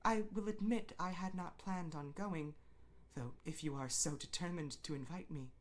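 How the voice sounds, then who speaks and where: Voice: medium pitch, moderate pace, pitched above the performer's own voice, close to an everyday voice. A performer voicing a polite, formal and reserved woman, speaking carefully, in a dramatic fan-fiction readthrough.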